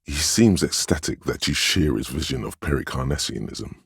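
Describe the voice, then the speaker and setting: A man voicing a sensitive inner voice in a video game, narrating other people's feelings in a measured, gentle, literary way. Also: very deep voice, expressive, creaky, breathy edge, no echo, clean studio sound, heavily acted. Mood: concerned, observant.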